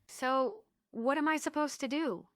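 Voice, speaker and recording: A woman's voice: sits high, a little slow, fairly bright tone, no echo, studio quality. A woman playing a wry, world-weary, outspoken heroine in a sardonic manner at a play table read. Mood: fed up.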